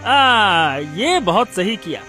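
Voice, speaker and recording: Man playing a smug, high-voiced crooked shopkeeper. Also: sing-song, drawn-out words, sharp tone, full character voice. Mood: smug, gleeful. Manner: smug, mocking. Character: gloating, crooked village shopkeeper crony, gleeful and sneering. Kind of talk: cartoon story character acting. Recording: music under the voice.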